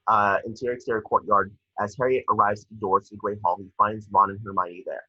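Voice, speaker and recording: Male narrator for a table read: rough-edged, lower register, reading action lines with occasional stumbles, lo-fi home recording. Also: level tone, fast talker. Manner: stumbles over words while reading. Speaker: narrator reading stage directions.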